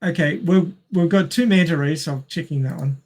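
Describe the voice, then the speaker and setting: A man's voice: a little high, fairly quick, a little mellow. A man with an Australian accent hosting a casual quiz among friends, reading out questions and chatting. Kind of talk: casual group quiz chat.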